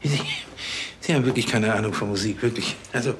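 A man's voice: lowish voice, a little fast, background noise, natural voice. An actor playing a self-important, bumbling policeman who stammers and gets huffy. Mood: huffy, amused.

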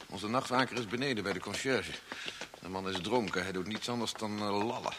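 An actor playing a courteous, pedantic professor in a Dutch radio play, speaking formally and precisely. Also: male voice, fairly low pitch, a little fast, background noise, natural voice.

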